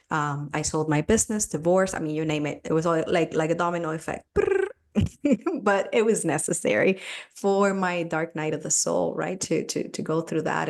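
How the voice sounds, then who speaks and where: A middle-aged woman from Cuba speaks as a podcast guest. Her voice sits low, and she circles her thoughts with fillers and restarts, laughing now and then. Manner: reflective, frequent fillers and restarts, laughs easily. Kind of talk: conversational interview.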